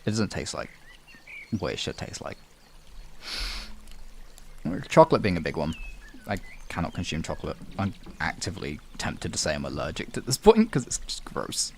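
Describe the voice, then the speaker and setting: Low, creaky-voiced man chatting on stream. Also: very animated, fairly quick, slight background noise. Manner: casual, swearing freely. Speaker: livestream host. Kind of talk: casual livestream chat.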